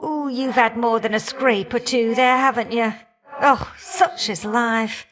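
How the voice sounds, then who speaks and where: An actress voicing a warm, feisty old great-aunt fire goddess for a video game, with folksy, teasing, old-fashioned talk. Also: female voice, a little high, fairly even intonation, a little slow. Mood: sympathetic, wry. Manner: folksy, teasing, old-fashioned turns of phrase, calling listeners dearie. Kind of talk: video game character acting.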